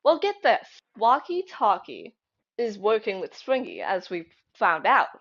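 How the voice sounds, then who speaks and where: A woman's voice: mid-range voice, sing-song, a little slow, fairly bright tone. A young woman giving casual fan commentary, conversational and emphatic, thinking aloud as she goes. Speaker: fan theory video creator. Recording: slightly dull lo-fi home recording.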